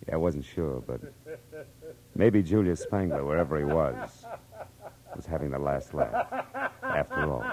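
A man's voice: a bass voice, slow pace, long pauses, a little mellow, slight background noise, heavily acted, rough recording. An actor playing a wry, hard-boiled American private eye who talks in easygoing tough-guy slang in a 1940s radio drama. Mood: reflective, wry. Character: hard-boiled, easygoing male private eye, narrating his own case. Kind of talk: 1940s radio detective drama acting and narration.

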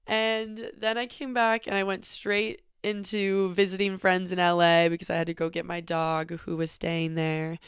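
A young woman hosts her own podcast from a cabin, thinking out loud in a candid, reflective way and leaning on "like" as she talks. Her voice sits on the low side and sounds crisp and very clear, with a slight sniffle from allergies. The recording is dry and close, with no room sound. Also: dry recording. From Northern California. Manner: open, reflective, frequent "like" fillers. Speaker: podcast host. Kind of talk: casual personal monologue. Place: small cabin in nature.

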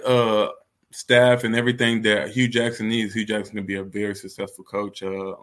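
A man's voice: lowish voice, fairly bright tone. A man in his twenties with an American accent, a college athlete giving candid, casual interview answers in a conversational way. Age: 20s. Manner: candid and conversational, frequent "you know". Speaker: college football player being interviewed.

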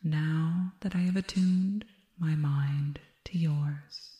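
A woman voicing a serene, otherworldly alien hypnotist in an ASMR roleplay, speaking in a soft, breathy whisper with deliberate, soothing phrasing. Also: low-pitched, steady tone, roomy sound. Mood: soothing, reassuring. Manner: hushed, slow, deliberate, repeating soothing words.

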